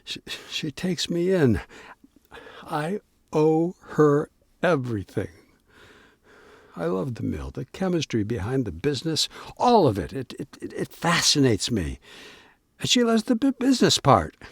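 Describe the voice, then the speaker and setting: A devoted younger brother in an audio play. His voice is high and swings widely in pitch. He stammers and repeats words, earnest and exasperated as he talks. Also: very animated, a little slow, vocal fry, slightly rough, dry recording, clean studio sound, exaggerated voice. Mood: confiding, wistful. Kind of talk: audio drama acting.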